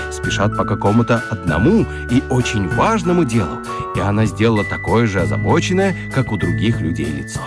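A man tells a children's story in a mid-pitched, very animated voice. His delivery is scripted and theatrical, with dramatic pauses and emphasis, and music plays behind him. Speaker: narrator.

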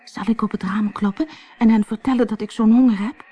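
A storybook narrator voicing a timid, polite, hungry and poor little girl who pleads softly. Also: medium pitch, steady tone, a little fast, voice pushed up, natural voice. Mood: hopeful longing.

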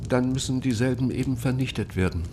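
Actor as a gravelly, commanding old-world cardinal. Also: male voice, fast talker, close to an everyday voice. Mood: stern, insistent. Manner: formal, authoritative, emphatic. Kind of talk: German radio drama acting. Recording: noisy recording with low rumble.

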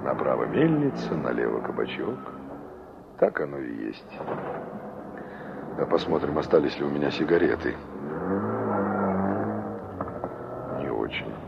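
An actor playing a wistful young engineer in an old Soviet radio play, musing to himself and polite with strangers. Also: male voice, rumbling low, very animated, moderate pace, vocal fry, pauses often, full character voice. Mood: nostalgic, musing. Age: young adult. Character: young male engineer revisiting a remembered village, wistful. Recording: noisy, dull old radio play recording.

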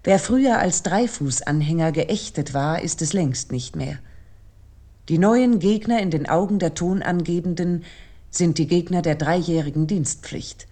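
Female narrator for literary radio, low and dark-toned, measured essayistic delivery. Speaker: commentator narrator.

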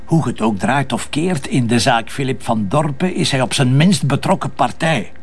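An actor playing a stubborn, teasing Flemish police inspector in a radio drama, probing and sardonic in colloquial speech. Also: male voice, mid-range voice, animated, normal speed, husky. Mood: stubborn, insistent. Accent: Flemish Belgian. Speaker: actor in a detective audio drama. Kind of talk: naturalistic radio drama dialogue.

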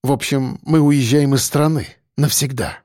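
A man's voice: medium pitch, a little mellow, no echo, clean studio sound, lightly acted. A man playing a harried grown son in an audiobook story, insistent and impatient with his mother. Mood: weary. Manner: hurried, breathy exhaling, insistent.

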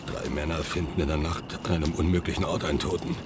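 A man's voice: extremely low pitch, steady tone, fast talker, music in the background. An actor playing a wary, cynical man in a radio play, terse and matter-of-fact. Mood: grim, wary. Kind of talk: radio drama dialogue. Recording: clear outdoor ambient noise.

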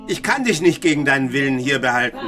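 A man's voice: medium pitch, lively intonation, fast, music in the background, close to an everyday voice. An actor playing a grumpy old misanthropic grandfather in a German radio drama, with a sardonic, brooding, dramatic delivery. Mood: exasperated, angry. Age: older. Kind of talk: German radio drama acting, dialogue and inner monologue.

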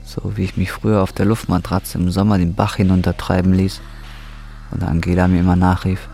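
A man's voice: sits low, flat delivery, fairly quick, warm tone, soft background music. An actor playing a troubled, bitter man in his twenties, brooding and intense in radio drama narration and dialogue. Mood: lonely, longing. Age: 20s.

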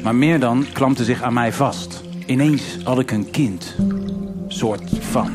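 An actor playing a weary, haunted middle-aged detective in a noir radio drama, muttering and halting as he talks. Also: medium pitch, natural voice. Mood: wistful. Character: weary, haunted older male detective confessing his past. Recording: music behind the voice. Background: live musical accompaniment with guitar.

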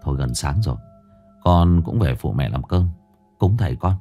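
A man's voice: extremely low pitch, expressive, normal speed, mellow, soft background music, pitched below the performer's own voice. A man voicing a grieving, dutiful young son who calls out in earnest sobbing cries. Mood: bewildered.

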